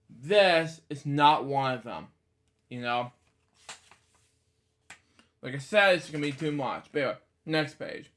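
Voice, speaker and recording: Male host, 20s, voice a bit high, halting casual read-aloud with rambling asides. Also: a bit bright. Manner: halting, stumbles over words while reading; rambling asides with repeated catchphrases. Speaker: host of a story reading series.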